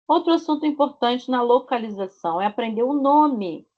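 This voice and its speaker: Woman, Rio de Janeiro accent, language teacher, slowly drawn-out examples, muffled video call. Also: on the high side, lively intonation. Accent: Rio de Janeiro Portuguese. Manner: slow, stretched-out example words. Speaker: Portuguese teacher. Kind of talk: online language class.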